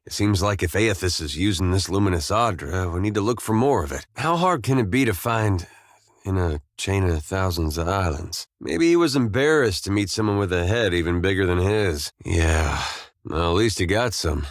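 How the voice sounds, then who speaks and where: A man's voice: low voice, expressive, normal speed, no room sound. A man playing an easygoing, loyal farm-boy soldier in a video game, with an American accent and a folksy, plainspoken, wry manner. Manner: folksy, laid-back drawl, dry humor. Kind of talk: scripted video game dialogue.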